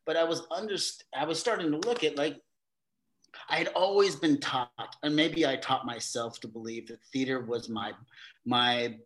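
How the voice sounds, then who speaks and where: Man, theater director, podcast guest, halting and reflective, middle-pitched voice. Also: fairly bright tone, low-quality recording. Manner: halting, many restarts and repeated words; acts out quoted exchanges in a livelier voice. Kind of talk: casual interview conversation.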